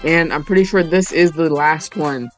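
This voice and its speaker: A raspy young man who just woke up, hosting a casual gaming video and pleading with the game for better luck. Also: on the high side, normal speed, soft background music. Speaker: gaming channel host.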